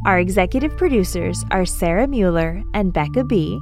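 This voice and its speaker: A woman's voice: fairly low pitch, animated, moderate pace. A woman from a podcast team reading scripted credits and thanks. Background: faint music.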